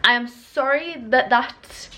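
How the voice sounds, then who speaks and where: A woman's voice: high voice, slow, pushed and bright, a little hiss or hum. A young British woman chatting casually to her vlog viewers in an informal, rambling way. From United Kingdom. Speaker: vlogger.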